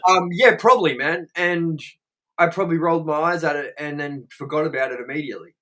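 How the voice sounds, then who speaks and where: A man's voice: on the high side, brisk, sharp tone, low-quality recording. A man co-hosting a podcast, talking casually and at length, rambling with lots of fillers and swearing. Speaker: podcast co-host. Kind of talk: casual chat.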